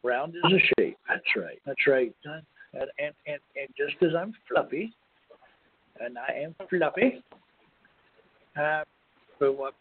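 A man hosting a casual radio chat, talking in a halting, rambling, self-deprecating way, with phone-like sound. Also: fairly high pitch, expressive, breathy edge. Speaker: radio show host. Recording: phone-like, band-limited web radio audio.

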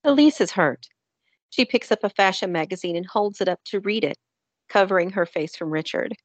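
A woman's voice: medium pitch, expressive, moderate pace, no room sound. A woman reading scripted narration for a radio play.

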